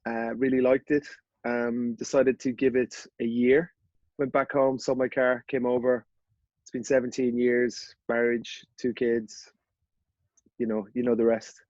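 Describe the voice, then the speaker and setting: Easygoing Dublin man, flat and dry. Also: mid-range voice, measured pace, no echo, muffled recording. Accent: Dublin Irish. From Ireland. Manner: rambling, easygoing, with many fillers and restarts. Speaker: podcast guest. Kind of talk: casual interview chat.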